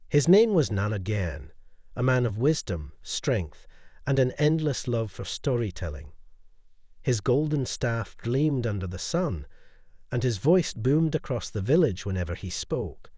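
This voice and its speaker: A male storyteller reads a bedtime folktale with a lively, swooping melody. His tone is mellow and soft-edged, and his delivery is expressive and dramatic. The sound is dry and close. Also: mid-range voice, unhurried, no room sound. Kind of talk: scripted bedtime folktale narration.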